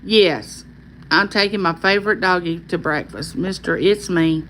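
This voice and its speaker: A woman with a Southern Arkansas accent hosts a casual livestream, reading viewer comments aloud and answering each by name in a calming voice. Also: fairly low pitch, measured pace, a little hiss or hum. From California. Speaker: livestream host.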